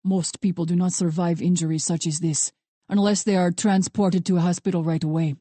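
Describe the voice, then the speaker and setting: A woman voicing a calm, menacing Russian man holding captives in an audiobook thriller, speaking in a deliberate, conversational way with a Russian accent. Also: lowish voice, no room sound, voice pushed down, lightly acted. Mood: cool, conversational. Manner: conversational, deliberate, coldly amused. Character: calm, menacing, well-dressed Russian male captor.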